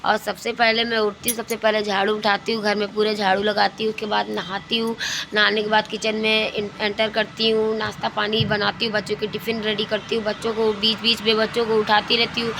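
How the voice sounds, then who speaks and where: Chatty indian mom vlogger lady, pretty even tone, repeats herself, clear traffic-y noise behind. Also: medium pitch, brisk, poor audio. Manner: chatty, repeats phrases, searches for words. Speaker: lifestyle vlogger, homemaker and mother. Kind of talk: casual chat about daily routine. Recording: clear background ambient and vehicle noise.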